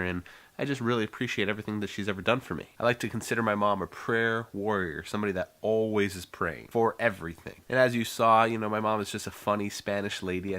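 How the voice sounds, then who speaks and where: A man's voice: on the low side, a bit bright. A young American man talking casually and earnestly to the camera in a rambling, heartfelt vlog monologue. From United States. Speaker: vlogger.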